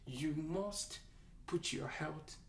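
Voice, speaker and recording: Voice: medium pitch, extremely slow, creaky, heavy background noise. A speaker with a Nigerian accent, a doctor giving an emphatic, preachy health talk to camera and repeating key lines for effect. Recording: echoey room, mic held at a distance.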